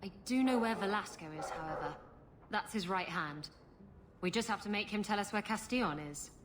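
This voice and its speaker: Game role for a woman: roguish schemer, medium-pitched, cocky and breezy, faint noise in the background. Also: fairly quick, lightly acted. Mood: conspiratorial. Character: brash, scheming, roguish female adventurer. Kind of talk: video game acting.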